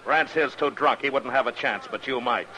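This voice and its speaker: A man's voice: mid-range voice, moderate pace, bright, forceful tone, close to an everyday voice. An American actor playing a stern, fearless frontier lawman in a 1950s Western radio drama, terse and commanding, narrating his own story. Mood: firm, challenging. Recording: noisy old radio recording.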